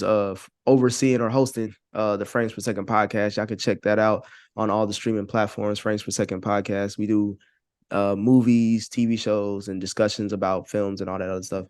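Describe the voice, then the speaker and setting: A man's voice: on the low side, a little mellow, smooth, no echo, clean studio sound. A man chatting casually as a podcast guest, informal and slangy, with some swearing. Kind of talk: casual chat.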